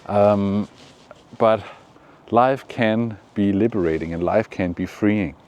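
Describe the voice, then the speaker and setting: A man from Denmark with a Danish accent, giving calm, encouraging motivational talk outdoors in the shade, with frequent pauses. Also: lowish voice, highs cut off, a little hiss or hum. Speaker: manifestation coach. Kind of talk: casual motivational vlog talk.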